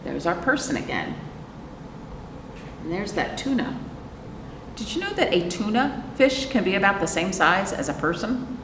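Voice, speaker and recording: A woman hosting a children's storytime as a librarian, reading aloud and chatting in an animated way, putting questions to young listeners. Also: medium pitch, normal speed, pauses often. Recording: echoey reverberant room with background noise.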